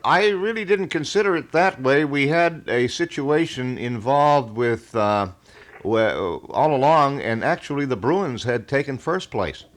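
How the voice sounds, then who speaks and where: A middle-aged American man hosting a live call-in radio show, talking in a folksy, wordy way with halting phrasing. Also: mid-range voice, animated. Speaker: radio talk show host. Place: radio studio. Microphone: studio broadcast microphone.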